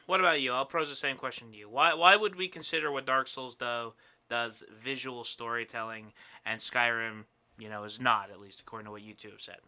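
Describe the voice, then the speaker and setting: A man's voice: medium pitch, steady tone, a little fast, sharp tone. A man hosting a casual podcast discussion and posing questions to his panel. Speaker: podcast host.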